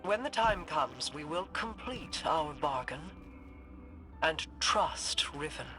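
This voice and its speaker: A woman playing a solemn, regal queen in a video game, speaking in a stately, measured, formal way. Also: sits low, lively intonation, pushed and bright, heavily acted. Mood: resolute. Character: regal, reflective sci-fi queen, formerly aloof, solemn. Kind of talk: dramatic game monologue. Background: music.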